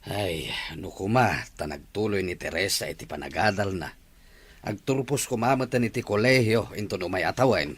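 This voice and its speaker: A man's voice: fairly low pitch, moderate pace, breathy edge. A man playing an encouraging middle-aged uncle in an Ilocano radio drama, with a hearty, agreeable manner. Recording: music bed under the dialogue.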